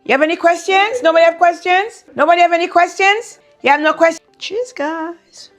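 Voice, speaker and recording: A woman's voice: very high voice, brisk, soft background music. Chatty woman in her fifties hosting a vlog. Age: 50s. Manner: chatty, addresses viewers directly. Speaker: vlog host. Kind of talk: casual vlog narration to viewers.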